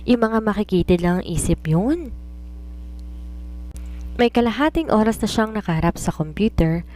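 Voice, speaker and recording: A woman's voice: on the low side, a little mellow. A woman with a Filipino accent narrating a scripted story.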